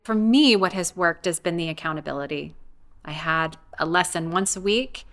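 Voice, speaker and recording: A woman's voice: lowish voice, moderate pace, fairly bright tone. A woman being interviewed, talking reflectively and casually about her own experience. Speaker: interviewee, language learner.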